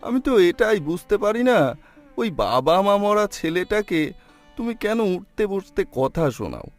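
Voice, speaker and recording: A man's voice: high voice, sing-song, moderate pace, soft background music, pitched above the performer's own voice. Man playing an exasperated, caring middle-aged uncle. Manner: pleading, protesting. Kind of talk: cartoon voice acting.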